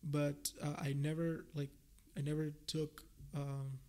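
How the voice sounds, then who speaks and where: A man's voice: on the high side, flat delivery, slow, warm tone. A young man from India, a native Gujarati speaker, chatting casually as an interview guest, talkative and often repeating and rephrasing his phrases. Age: young adult. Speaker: podcast guest, PhD researcher.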